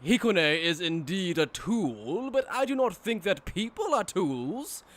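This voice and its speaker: A man's voice: high voice, sing-song, unhurried, fairly bright tone, full character voice. A man playing a cruel, mocking aristocratic villain in an anime fan dub, with pompous, condescending, theatrical delivery. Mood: coldly disdainful. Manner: pompous, theatrical, sneering formal speech.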